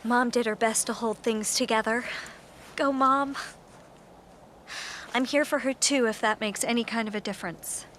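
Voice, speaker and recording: An actress playing a guarded, grieving American daughter in a naturalistic radio drama. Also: female voice, a little high, fairly even intonation, moderate pace, pauses often, fairly bright tone, a little hiss or hum, natural voice. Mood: subdued, reflective. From United States.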